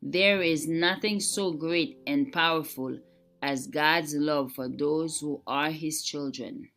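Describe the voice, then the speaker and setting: A woman giving a scripted devotional reading in a measured, solemn way with careful phrasing. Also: sits low. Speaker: devotional reader. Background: soft plucked guitar music.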